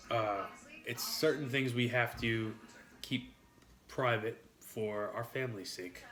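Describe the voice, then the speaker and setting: A man's voice: medium pitch, fairly bright tone. A man with a New York accent eating on a live stream, rambling to his chat with frequent swearing and jokes. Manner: rambling, profane, jokey with chat.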